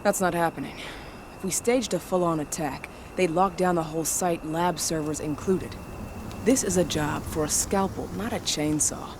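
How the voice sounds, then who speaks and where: An actor playing a pragmatic, supportive rebel comrade and tech saboteur, casual and frank, in a video game cutscene. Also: male voice, fairly high pitch, steady tone, normal speed, noisy background, close to an everyday voice. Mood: businesslike.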